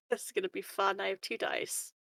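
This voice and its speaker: A woman's voice: medium pitch, fairly even intonation, moderate pace, vocal fry, pushed and bright, no room sound, clean studio sound. A woman chatting casually as a player in a tabletop role-playing game.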